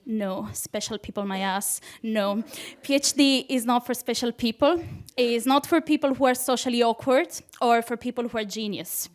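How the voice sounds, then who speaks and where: Young Italian-accented woman, stand-up comic, high voice, nervous and chatty, giggles often, club stage. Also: moderate pace. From Italy. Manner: nervous, chatty, laughs at her own jokes. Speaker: PhD student doing stand-up. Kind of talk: stand-up comedy set.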